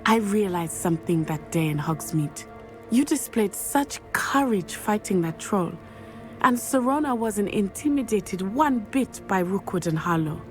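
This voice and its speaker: Actress as brave schoolgirl witch, Southern African accent, medium pitch, earnest, formal, game dialogue over music. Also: expressive, measured pace, lightly acted. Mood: resolute. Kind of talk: video game voice acting.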